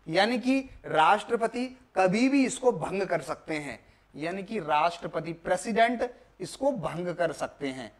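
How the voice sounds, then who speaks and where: A man's voice: high voice, fairly bright tone. A young man from India teaching a lesson, repeating key points and posing questions that he answers himself. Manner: repetitive, emphatic, asks and answers own questions. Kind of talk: classroom lecture on a video lesson. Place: indoor classroom at a board.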